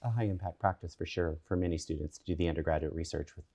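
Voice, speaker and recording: Man, interviewer, very deep voice, dark mellow tone, brief encouraging questions. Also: steady tone, fast talker. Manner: brief and encouraging, quiet acknowledgments. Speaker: interviewer, show host.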